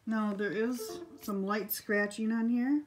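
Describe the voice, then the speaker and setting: A woman's voice: fairly high pitch, fairly even intonation, slow pace, music in the background. A woman talking casually off camera as she demonstrates an item, recorded indoors on a handheld phone.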